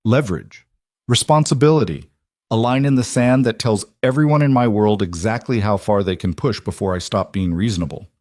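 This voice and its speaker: A man voicing a powerful, protective mafia boss in audiobook dialogue, measured and grave. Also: fairly low pitch, darkish tone, no room sound, close to an everyday voice. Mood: intense, possessive.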